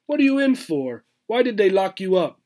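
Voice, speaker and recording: Streetwise male squirrel acted by a man, skeptical, blunt, suspicious, raised pitch, storybook animal. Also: very animated, normal speed, darkish tone. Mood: skeptical probing.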